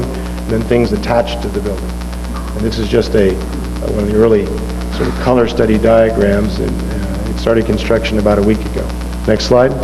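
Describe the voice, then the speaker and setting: Man, lowish voice, halting slide talk, lots of uh and restarts, roomy hall echo, music in the background. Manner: halting, many fillers and restarts. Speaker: architect presenting on a panel. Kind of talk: slide presentation at a lecture. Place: lecture hall. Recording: echoey archival hall recording with music behind.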